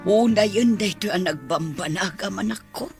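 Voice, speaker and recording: A woman with a Filipino accent playing a worried, devoted middle-aged mother in a radio drama, husky-voiced. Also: mid-range voice, moderate pace, over music, natural voice. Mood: grieving, reproachful.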